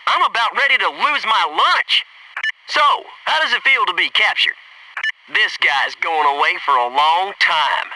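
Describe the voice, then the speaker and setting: A man's voice: high voice, expressive, measured pace, far from the mic, noisy background. A man playing a cocky, wisecracking Navy SEAL squadmate in a military shooter game, with wry, slangy, gung-ho delivery. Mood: wry, relaxed.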